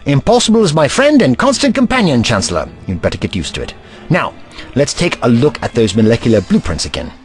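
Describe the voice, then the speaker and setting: A man playing an eccentric, brainy time traveller in an audio drama, kindly but testy, musing aloud in a wordy, gently pedantic manner. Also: mid-range voice, wildly swooping pitch, fairly quick, soft background music. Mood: confident, instructing.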